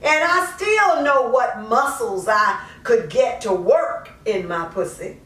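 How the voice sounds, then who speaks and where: A woman performing a dramatic monologue poem as a troubled, self-justifying mother, colloquial, drawn-out and confessional. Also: a little high, expressive, a bit bright. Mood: pleading, self-justifying. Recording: echoey room, distant mic.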